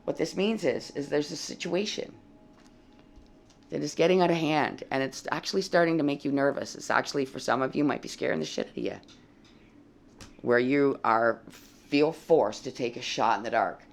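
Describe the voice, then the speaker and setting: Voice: medium pitch, normal speed, vocal fry. A tarot reader giving a casual, blunt reading with a dry, froggy voice and some swearing. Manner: blunt and plain-spoken, swears freely.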